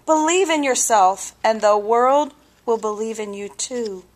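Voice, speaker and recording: A woman voicing an encouraging grandmother in a storybook, with emphatic, deliberate delivery. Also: fairly high pitch, lightly acted. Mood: rousing. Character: encouraging grandmother giving proud, hearty advice.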